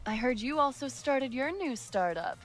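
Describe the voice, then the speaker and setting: A coy, self-possessed career woman from an anime-style game. Her pitch is lively and swooping, with light music behind her. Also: normal speed. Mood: coy, confident. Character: poised, coy rival star game producer, adult woman. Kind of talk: anime-style visual novel voice acting.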